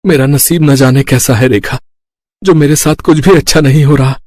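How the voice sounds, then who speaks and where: A man voicing a poor, honest, long-suffering village cook in an animated moral story, earnest and plaintive. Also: mid-range voice, normal speed, no echo, studio quality, natural voice. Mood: tearful.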